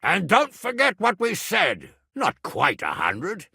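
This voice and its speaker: A man playing a middle-aged medieval lord and guardian in a video game, blunt, commanding and earthy, with a gravelly, raspy voice. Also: sits high, huge pitch swings, takes it slowly, sharp tone, dry recording, clean studio sound, exaggerated voice. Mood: weary, firm. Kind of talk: dramatic video game dialogue.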